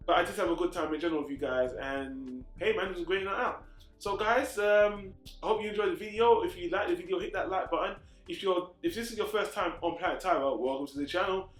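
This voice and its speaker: A man's voice: high-pitched, fast talker. A man hosting a casual movie review, emphatic and blunt in his opinions, swearing casually. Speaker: host of a film review channel. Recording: roomy echo with faint music.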